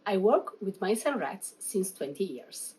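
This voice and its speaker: Lively Italian-accented woman, middle-aged. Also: mid-range voice, a little slow, slight background noise. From Italy. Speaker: research associate. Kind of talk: scripted self-presentation.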